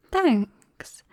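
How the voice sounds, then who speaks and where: A British woman playing a nervous, tender vampire best friend in an ASMR roleplay, speaking softly and haltingly with drops into whispers. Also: sits high, no room sound, clean studio sound, heavily acted. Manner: hesitant, halting, breaking into whispers. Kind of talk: ASMR roleplay, soft intimate acting. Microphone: close microphone, dry.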